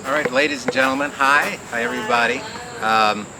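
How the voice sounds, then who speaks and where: A man hosting a live outdoor garden show, welcoming people and doing magician's patter in an informal, halting, rambling way. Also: mid-range voice, steady tone. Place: outdoor community garden event. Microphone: live mic through a speaker. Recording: very noisy outdoor live recording.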